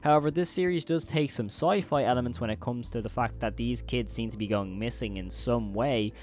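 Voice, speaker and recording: A man speaks as the host of a horror commentary video. His voice sits at a middle pitch. He is emphatic and wordy, often circling back over his phrasing. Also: brisk, darkish tone, faint music behind.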